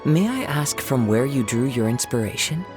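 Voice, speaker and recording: A man as a soft-spoken young wandering poet. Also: mid-range voice, expressive, normal speed, natural voice. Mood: calm courteous. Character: calm, courteous young wandering male poet swordsman. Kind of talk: video game voice acting. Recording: music playing behind the voice.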